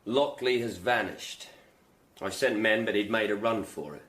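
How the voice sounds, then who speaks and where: An actor playing a dutiful Tudor coroner in a period radio drama, formal and matter-of-fact. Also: male voice, fairly low pitch, moderate pace, a bit bright, close to an everyday voice. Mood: businesslike. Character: brisk, dutiful Tudor coroner reporting to superiors.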